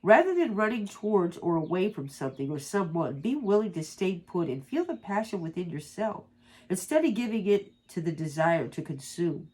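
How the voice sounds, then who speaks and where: A woman with a stuffed-up nose from allergies, reading slowly and softly from a guidebook as an oracle card reader, with small stumbles and asides. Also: fairly low pitch, big pitch swings, slightly rough, rough recording. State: congested from allergies.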